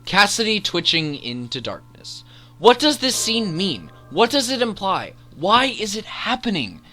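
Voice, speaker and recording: A man with a very bright, forceful voice sits a little high in pitch. He narrates a scripted countdown as an enthusiastic video host, stressing his phrases dramatically over background music. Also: huge pitch swings, unhurried.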